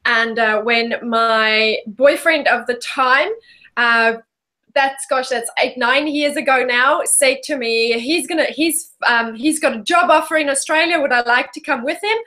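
A South African woman speaks as an interview guest over a video call in a friendly, chatty way. Her voice is high and bright, with a crisp edge. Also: unhurried. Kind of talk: interview, casual storytelling.

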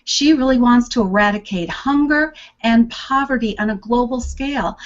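A woman's voice: on the high side, muffled recording. A woman hosting an online business show, delivering introductions and sponsor messages in an upbeat, promotional way. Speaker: host.

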